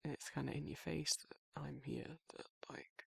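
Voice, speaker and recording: Casting: man to act as tender, guarded male werewolf for ASMR roleplay; slightly high-set but husky voice, hesitant, rambling delivery. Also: fairly even intonation, a bit bright, no echo, full character voice. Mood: shy, tender. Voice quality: husky, breathy, gravelly. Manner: hesitant, halting, rambling, informal. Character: guarded, soft-hearted male werewolf shyly confessing affection. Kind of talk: intimate ASMR roleplay monologue.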